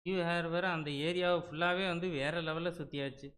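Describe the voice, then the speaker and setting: A man's voice: fairly high pitch, steady tone, very fast, fairly bright tone. A man casually commentating on a game livestream, chatty, muttering his reactions as he plays. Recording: game music behind voice.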